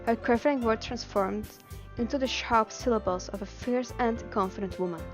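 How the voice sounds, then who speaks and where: Her voice sits fairly high, over music. She is a woman from Belgium, a native Dutch speaker with that accent in her English. She streams live, chatting and reading game text aloud, expressive and giggly, and trips over her words now and then. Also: moderate pace.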